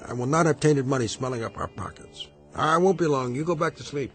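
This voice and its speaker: An actor playing a stiff, self-righteous husband with a gambling obsession, hesitant and easily flustered, in a radio drama. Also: male voice, medium pitch, brisk, natural voice. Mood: indignant. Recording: studio radio drama with music underneath.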